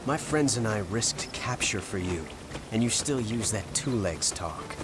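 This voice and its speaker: A man's voice: lowish voice, expressive, measured pace, background noise. A man playing a wary, earnest hero in a video game, speaking in a direct, questioning way. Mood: firm, indignant. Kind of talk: dramatic video game cutscene acting. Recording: music behind.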